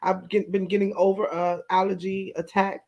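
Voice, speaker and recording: A hoarse woman with a raspy voice, chatting playfully as a talk show panelist. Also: medium pitch, level tone, normal speed, a little mellow, muffled recording. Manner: laughs often, playful. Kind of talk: casual panel chat.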